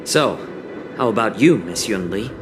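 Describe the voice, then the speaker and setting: A man's voice: fairly low pitch, expressive, a little slow, background noise. A man voicing a suave, teasing healer in a video game cutscene, with a leisurely manner. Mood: amused.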